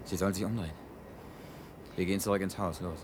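A man's voice: sits low, steady tone, fast talker, darkish tone, a little hiss or hum, natural voice. An actor playing a tense, commanding and bitterly sarcastic man facing deadly danger in a science-fiction radio drama. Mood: afraid, commanding.

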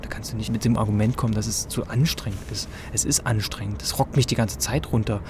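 A man's voice: fairly low pitch, steady tone, fast talker. A man from East Germany arguing casually, insistent and slangy. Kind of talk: casual argumentative chat. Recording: clear vehicle and street noise.

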